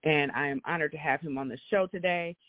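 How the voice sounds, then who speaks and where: A man's voice: fairly high pitch, fast talker, no echo. A man hosting a casual interview show, friendly and affirming, often repeating his guest's words back. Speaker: show host. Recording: tinny phone-like line, dry.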